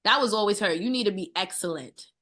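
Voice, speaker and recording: Bright-voiced New York woman chef. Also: mid-range voice, fast. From Brooklyn, New York. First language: Haitian Creole. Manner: earnest, rambling, repeats phrases. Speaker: guest chef. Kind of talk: casual interview talk.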